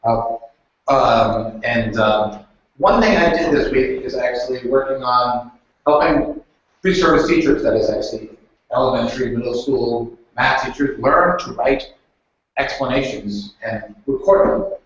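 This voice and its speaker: A man's voice: mid-range voice, measured pace. A male professor introducing himself in a meeting, rambling and saying "actually" over and over. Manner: rambling, says "actually" often. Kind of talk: self-introduction in a group meeting. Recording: very echoey, distant, dull.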